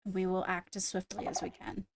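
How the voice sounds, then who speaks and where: A woman with measured, formal phrasing, addressing a court as its leader in an improvised roleplay. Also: mid-range voice, completely flat delivery, vocal fry, noisy background. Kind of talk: improvised in-character roleplay announcement.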